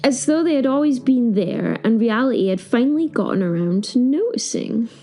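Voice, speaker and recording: A woman playing a timid, flustered professor, telling her story in the first person with expressive, hesitant, wry asides. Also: on the high side, moderate pace.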